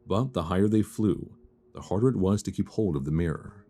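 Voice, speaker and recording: A man softly reading a fairy tale as sleep-aid ASMR, measured and soothing, close to a binaural microphone. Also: low voice, lively intonation, soft background music. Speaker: storyteller.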